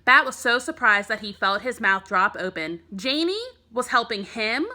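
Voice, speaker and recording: A woman teacher narrating a children's book aloud, telling the story clearly for young listeners. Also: on the high side, expressive, moderate pace, bright, forceful tone. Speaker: elementary school teacher.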